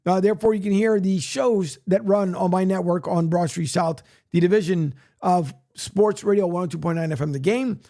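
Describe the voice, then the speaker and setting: A man hosting a sports radio show, rambling casually with many fillers, his voice raspy from a long day. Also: high-pitched, normal speed, hardly any pauses, mellow. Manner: rambling, many fillers, plugs and reads news aloud. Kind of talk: casual solo sports talk, filling time.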